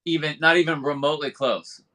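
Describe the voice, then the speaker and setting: A man co-hosting a casual podcast over his cell phone, speaking in a halting, hesitant way. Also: on the high side, steady tone, sharp tone. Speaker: podcast co-host.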